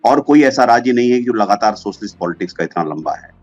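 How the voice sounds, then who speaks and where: A man's voice: on the low side, expressive, fast. A man giving informal political analysis as a commentator, mixing English terms into his speech. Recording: dull lo-fi audio with music behind. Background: background music.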